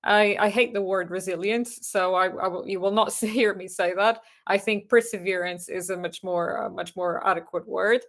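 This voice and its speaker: A Portuguese-accented woman in her thirties presents a slide talk to would-be surgeons. Her voice sits at an ordinary middle pitch. She speaks earnestly but haltingly, with frequent ums and repeated words. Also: steady tone. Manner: hesitant, many fillers and restarts, earnest. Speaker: neurosurgery registrar and clinical lecturer.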